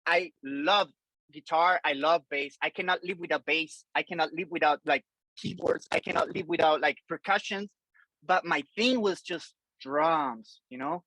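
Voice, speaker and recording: A man in his forties who grew up in Colombia chats as a podcast guest, wandering through his thoughts with plenty of fillers. His voice has a bright edge, and the sound is dry and close. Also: a little high, moderate pace, dry recording, rough recording. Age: 40s. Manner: loose and rambling, many fillers and restarts. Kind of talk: casual interview chat.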